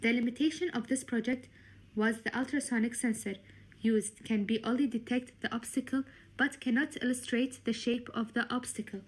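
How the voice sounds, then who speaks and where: A woman's voice: a little high, fairly even intonation, normal speed, husky. A woman presenting a student project in a formal, scripted explanatory style.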